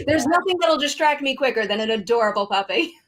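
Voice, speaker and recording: Higher-voiced woman hosting an interview. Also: normal speed. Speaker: interview host.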